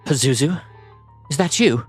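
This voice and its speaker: A man voicing a stern woman high priestess who speaks in a commanding, defensive manner. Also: expressive, moderate pace, husky, soft background music.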